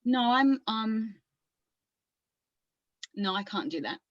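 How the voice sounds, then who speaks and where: A woman's voice: fairly high pitch, animated, no room sound, highs cut off. A woman playing an agitated, pleading psychiatric patient with an Australian accent in a dramatic stage monologue, volatile and repeating herself. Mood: subdued pleading. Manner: volatile, confrontational, halting and repeating.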